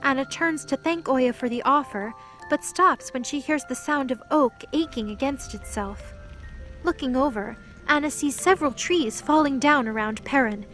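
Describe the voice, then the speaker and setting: A woman's voice: high voice, moderate pace, a bit bright, faint music behind. A woman narrating a scripted audio drama as its storyteller.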